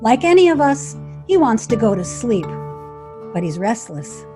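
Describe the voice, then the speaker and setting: A woman reading a poem aloud in a measured recital style. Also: a little high, sing-song, over music. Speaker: poetry reader.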